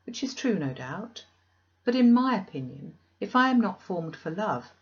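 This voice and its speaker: A woman reader playing an earnest, resolute young woman in a classic novel, measured and formal. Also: lowish voice, muffled recording.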